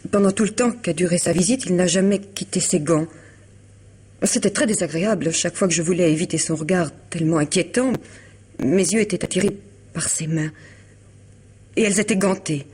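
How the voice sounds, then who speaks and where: A woman's voice: lowish voice, fairly quick, darkish tone, husky. An actress playing an elegant, troubled woman confiding in a lawyer friend in a vintage French radio drama, hesitant yet articulate. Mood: uneasy, hesitant. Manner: hesitant, restarting, articulate and formal.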